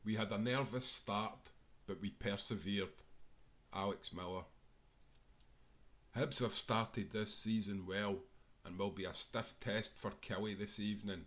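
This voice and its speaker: A man's voice: fairly low pitch, fairly even intonation, a little slow, long pauses, sharp tone. A Scottish man reading a scripted sports history book aloud, as an author narrating his own work.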